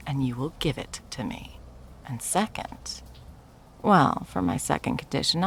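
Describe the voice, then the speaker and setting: Woman acting a low, creaky-voiced possessive corporate ex-girlfriend. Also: slight background noise, exaggerated voice. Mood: demanding, possessive. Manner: taunting, drawn-out endearments, dropping into breathy whispers. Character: possessive, wealthy corporate ex-girlfriend, coldly menacing and cloyingly sweet. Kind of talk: intimate ASMR roleplay, dramatic monologue.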